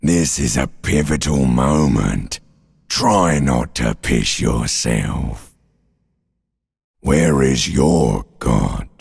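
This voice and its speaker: A man voicing an ancient reptilian brain in a video game, a gravelly, guttural, nihilistic inner voice that taunts with slow menace. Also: very deep voice, lively intonation, darkish tone, exaggerated voice. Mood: mocking, crude. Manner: slow, drawn-out, menacing, mocking. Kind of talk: theatrical video game voice acting.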